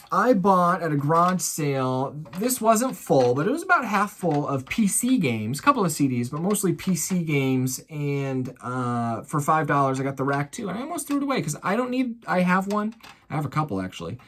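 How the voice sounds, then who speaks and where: A man's voice: fairly high pitch, fairly bright tone, a little hiss or hum. An American man talking casually to viewers as an online reseller running through a sales recap in a chatty, folksy showman's way. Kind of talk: casual vlog recap of items sold.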